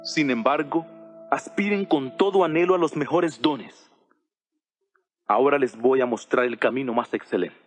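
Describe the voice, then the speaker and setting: A man playing an earnest apostle instructing his church in a solemn, measured, rhetorical dramatized audio Bible reading. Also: medium pitch, close to an everyday voice. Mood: exhorting. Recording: voice over background music. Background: music.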